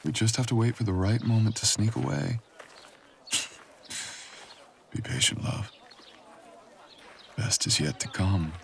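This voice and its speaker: A man playing a brooding, possessive, teasing boyfriend in an intimate, unhurried ASMR audio roleplay. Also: fairly low pitch, lively intonation, creaky, slight background noise. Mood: sly, teasing.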